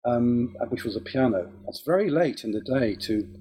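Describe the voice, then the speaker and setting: A man's voice: mid-range voice, animated, moderate pace, dark tone. A man raised in England after an early childhood in Israel, speaking English with an English accent and being interviewed about his life in music, thoughtful and hesitant with many restarts. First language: Hebrew. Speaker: guest, music academic and musician. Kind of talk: relaxed interview conversation.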